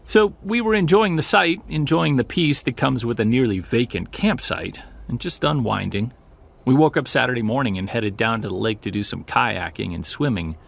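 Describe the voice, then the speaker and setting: A man's voice: mid-range voice, animated, moderate pace, creaky, a bit bright. A man acting as a witness who recounts an unsettling childhood memory in a hesitant, conversational audio drama style. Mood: uneasy, reflective. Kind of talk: naturalistic audio drama storytelling.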